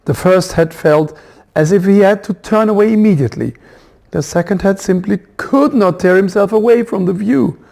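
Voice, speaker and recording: A man reading a children's book as its author, in expressive storybook narration. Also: sits high, moderate pace, gravelly.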